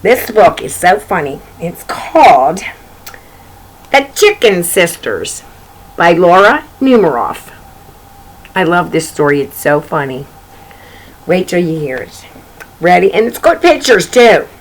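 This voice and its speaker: A woman's voice: lowish voice, sing-song, slow pace, long pauses, low-quality recording. A woman hosting a read-aloud for children, chatting directly to her young listeners with asides and repeated phrases as she reads a picture book. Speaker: children's story reader and host.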